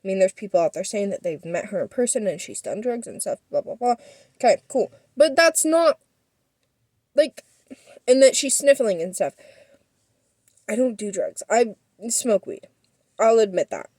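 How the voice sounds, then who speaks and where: A woman's voice: medium pitch, mellow, dry recording. A young woman telling a personal story as a vlogger, rambling and defensive with many fillers, sniffling.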